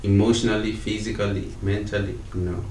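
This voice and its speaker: Young man, deep voice, echoey room. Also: flat delivery, brisk, darkish tone. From Zimbabwe. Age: young adult. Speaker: podcast guest. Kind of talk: casual podcast discussion. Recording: echoey room with faint noise.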